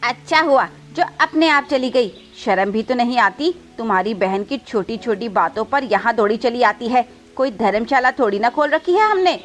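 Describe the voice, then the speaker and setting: A woman voicing a sharp-tongued, unwelcoming bird wife who scolds, in a cartoon. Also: a little high, expressive, moderate pace, few pauses, faint music behind, close to an everyday voice. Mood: irritated.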